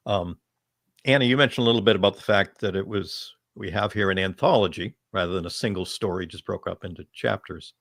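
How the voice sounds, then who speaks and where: A man moderating an unscripted panel discussion, phrasing his thoughts in a measured, hesitant way. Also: sits low, creaky, fairly bright tone, dry recording, clean studio sound.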